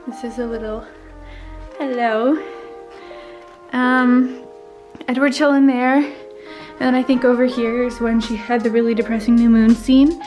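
A woman in her twenties, talking casually and excitedly to a handheld camera while touring a rental house. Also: on the high side, unhurried, pauses often, soft background music, rough recording. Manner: bubbly, excited, filler-heavy. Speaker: travel vlogger. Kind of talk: casual vlog chat. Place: rental house indoors and forest outdoors. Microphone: handheld camera at arm's length.

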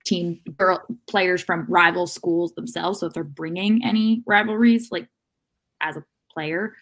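Low-voiced woman talking sports casually. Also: fairly even intonation, measured pace, a bit bright. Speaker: soccer podcast panelist. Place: indoor room at home, video call. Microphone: video call microphone.

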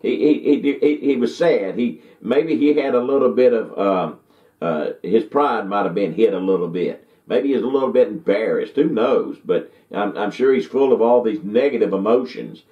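An older man gives a rambling Bible lesson, stumbling into restarts and filling pauses with "uh." His voice sits fairly low, and it comes through thin and phone-like. The room rings with echo, and he sounds set back from the mic. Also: lively intonation. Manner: folksy, rambling, with many restarts and fillers. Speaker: Bible teacher. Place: indoor study with bookshelves. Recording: tinny, echoey, from far off.

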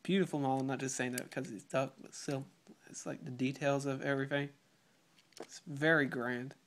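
Eager boy unboxing toys, slightly croaky. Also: mid-range voice, steady tone, brisk. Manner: chatty, eager, apologetic, clears throat often. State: getting over a cold. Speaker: toy reviewer. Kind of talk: casual unboxing review. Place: home room with patterned rug. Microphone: phone camera held close. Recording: clear crinkling packaging noise. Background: loud crinkling plastic packaging.

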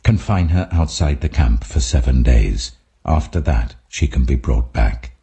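Man voicing a stern, extremely deep-voiced God. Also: lively intonation, warm tone, full character voice. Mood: grave, commanding. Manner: grave, commanding, deliberate. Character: the Lord, stern, majestic male God.

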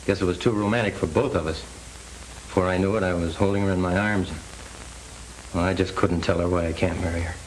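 A man's voice: low voice, fairly even intonation, fairly quick, lightly acted. An actor playing an earnest, troubled young man in a 1930s film. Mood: troubled, determined. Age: young adult. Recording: faintly noisy 1930s film soundtrack.

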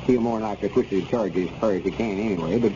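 An actor playing a worried, exasperated country storekeeper with a rural Southern drawl and homespun grammar in an old radio comedy serial. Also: male voice, lowish voice, fast talker, natural voice. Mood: uneasy, urgent. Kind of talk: 1930s-40s radio comedy serial acting. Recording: muffled, noisy old radio recording.

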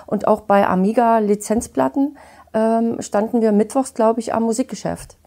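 Woman, interviewer, mellow warm tone, attentive, conversational, studio. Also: on the high side, steady tone, moderate pace. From Saxony. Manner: attentive, frequent affirming murmurs. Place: studio set with brick wall. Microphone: lapel mic.

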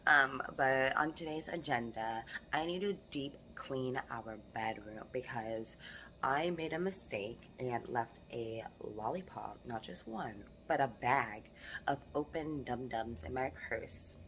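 A young woman with a low voice chats to her phone camera, hoarse and a bit raspy from rehearsals. She talks in an animated, emphatic way, with a faint hum of background noise. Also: slow pace. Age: young adult. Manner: animated, emphatic motivational talk. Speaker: vlogger. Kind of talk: casual vlog talk to camera. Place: home living room, then car. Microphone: phone camera held close.

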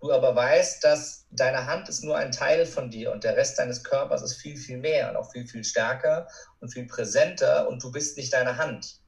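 A man in his thirties speaks as a guest coach on a podcast. His voice sits at a middle pitch, and he explains his points conversationally, as in an interview. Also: normal speed, gravelly. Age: 30s.

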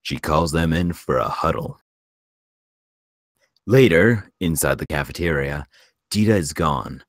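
Very deep-voiced man narrating a screenplay. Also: animated, a little slow, dry recording, studio quality. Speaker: stage direction reader.

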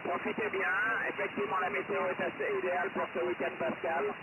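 A man's voice: high voice, very animated, quick pace, narrow, tinny audio. A cheerful man chatting casually as an amateur radio operator over a radio link. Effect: single-sideband radio transmission. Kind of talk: casual ham radio contact with phonetic callsigns. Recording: very noisy satellite radio link.

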